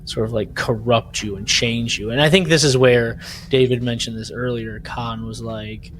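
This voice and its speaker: A man co-hosting a podcast in a casual fan discussion, animated and rambling as he searches for words. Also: medium pitch, moderate pace. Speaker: podcast co-host. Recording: clear background noise throughout.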